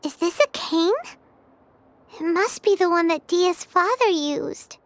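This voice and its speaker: An actress voicing a tiny, timid, sweet childlike companion in a video game. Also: female voice, extremely high pitch, slow, full character voice. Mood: gentle, hesitant.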